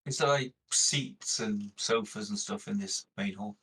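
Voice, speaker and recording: A man's voice: fairly low pitch, measured pace, pushed and bright, husky, recorded from a distance, rough recording. A man playing a tabletop roleplaying game, describing his character's actions in a laid-back, informal way.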